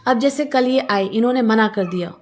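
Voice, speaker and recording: Female interviewee, fairly high voice, argues a civic complaint emphatically with pointed rhetorical questions. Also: moderate pace, a little mellow. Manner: emphatic, argumentative, rhetorical questions, mixes in English phrases. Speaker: local resident interviewed about a civic complaint. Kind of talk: street interview, airing a complaint.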